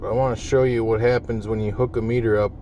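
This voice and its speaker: A man's voice: medium pitch, flat delivery, quick pace, dark tone, highs cut off. Regular guy showing off gear in his car, folksy, mid voice, bit of background noise. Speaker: vlogger demonstrating CB radio gear. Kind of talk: casual hands-on demonstration. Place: inside a parked vehicle cab. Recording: handheld recording with car cabin noise.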